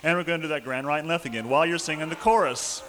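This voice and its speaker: A man's voice: fairly high pitch, rapid-fire, fairly bright tone. Man calling a folk dance, noisy tape. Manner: calls steps between sung lines, jokey asides. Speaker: square dance caller.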